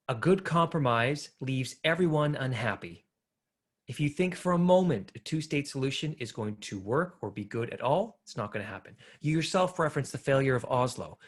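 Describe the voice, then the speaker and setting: A man speaking earnestly as a panel speaker, answering audience questions with frequent hesitations. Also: mid-range voice. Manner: earnest, argumentative, frequent filler "uh".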